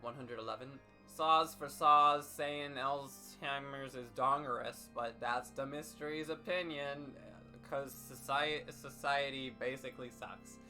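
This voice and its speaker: A man with a bright, forceful voice reads fan fiction aloud as comedy. His delivery is theatrical and heavily emphatic, over music in the background. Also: mid-range voice, steady tone, unhurried. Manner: theatrical, emphatic, with sudden shouts. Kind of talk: over-dramatic comedic reading.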